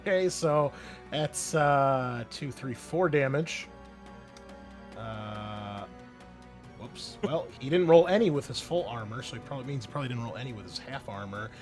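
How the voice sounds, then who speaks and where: A man's voice: medium pitch, normal speed. Casual man running a tabletop game, music behind. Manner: casual, conversational, mild swearing. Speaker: game master. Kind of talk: narrating a tabletop roleplaying game.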